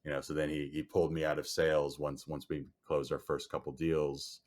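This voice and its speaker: A man whose first language is Chinese, answering podcast interview questions in a measured way with frequent "you know" fillers. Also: rumbling low, level tone, a little mellow. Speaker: guest, storage company executive.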